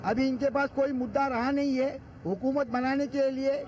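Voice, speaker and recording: A man's voice: very high-pitched, fairly even intonation, normal speed, a little mellow. A local man answering a street interview, in dull outdoor audio with some traffic noise. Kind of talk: vox pop interview.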